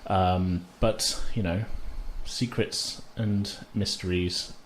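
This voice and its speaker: A man's voice: deep voice, steady tone, slow pace. Man game-mastering casually, noisy background. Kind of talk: casual tabletop game narration. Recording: noisy room ambience.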